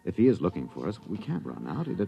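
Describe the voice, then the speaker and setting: An actor playing a reassuring but impatient husband on the run in a 1950s radio drama, brisk and coaxing. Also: male voice, low-pitched, huge pitch swings, gravelly, highs cut off, heavily acted. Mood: tense, hushed. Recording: old radio, music and crowd behind.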